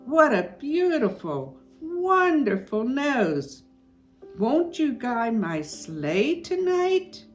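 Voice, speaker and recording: Kindly Father Christmas figure played by a woman, high-pitched, drawn-out exclamations, storybook style, music behind. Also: big pitch swings, exaggerated voice. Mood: delighted, commanding.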